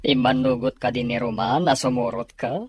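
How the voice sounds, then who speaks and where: A man's voice: mid-range voice, steady tone, moderate pace, close to an everyday voice. A man playing an agreeable, indulgent boss and father in an amateur radio-style drama.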